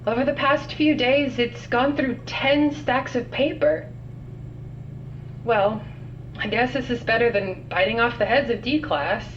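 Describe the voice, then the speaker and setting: A woman's voice: on the high side, a little slow, lightly acted. A woman playing a weary, sardonic scientist recording containment logs in an audio drama, dry and matter-of-fact. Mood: wry, bemused. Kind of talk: audio drama log narration. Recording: muffled, distant, noisy log with hum.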